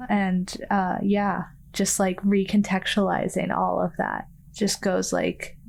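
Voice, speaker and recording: A woman's voice: mid-range voice, flat delivery, takes it slowly, vocal fry, a little mellow. A woman casually narrating what her character thinks and does as a player in a tabletop game.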